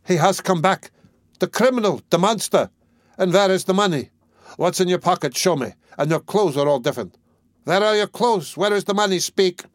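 A man's voice: high voice, moderate pace, no echo, studio quality, voice pushed up, lightly acted. A man reading an audiobook, voicing a desperate impoverished mother who screams accusations in a frenzy. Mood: frenzied, despairing. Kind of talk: audiobook character voice.

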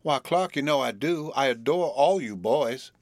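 A man voicing an affectionate, restless young woman from the South, with a Southern US drawl and an earnest, halting manner in a storybook reading. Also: medium pitch, lively intonation, no room sound, clean studio sound, voice pushed up, close to an everyday voice.

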